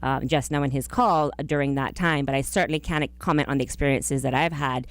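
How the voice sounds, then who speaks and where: A woman's voice: very deep voice, dry recording. A woman, speaking formally and carefully as a political guest, close on a studio microphone. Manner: formal, careful, halting phrasing. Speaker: government minister, studio guest. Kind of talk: political radio interview. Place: small radio studio. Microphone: close broadcast microphone on boom arm.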